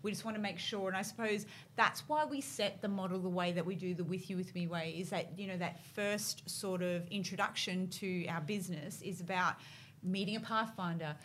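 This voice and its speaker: A woman's voice: fairly low pitch, moderate pace, a bit bright, a little hiss or hum. An Australian woman hosting a casual interview, chatty and affirming, with many fillers. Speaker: host, interviewer.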